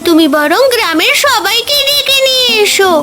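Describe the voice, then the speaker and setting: A woman's voice: very high voice, expressive, moderate pace. A woman voicing a sweet, eager young village daughter in a children's fairy tale cartoon. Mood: helpful.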